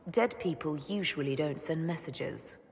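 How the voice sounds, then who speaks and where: An actress playing a frank, level-headed elven woman who leads an order in a video game, speaking calmly and directly. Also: low voice, a little slow, gravelly, slight background noise. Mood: dry, firm. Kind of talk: video game cutscene dialogue.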